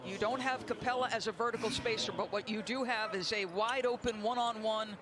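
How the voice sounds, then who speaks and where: A woman's voice: a little high, steady tone, fairly quick, background noise. A woman giving live analysis as a color commentator on a basketball broadcast.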